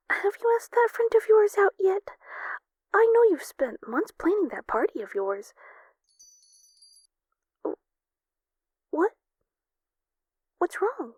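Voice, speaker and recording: Woman acting gushing high-pitched doting mom. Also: big pitch swings, slow, long pauses, a little mellow, dry recording, exaggerated voice. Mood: gushing, concerned. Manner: gushing, sing-song, coddling with pet names. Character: doting, chatty, slightly clingy mother on a phone call. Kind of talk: audio roleplay acting.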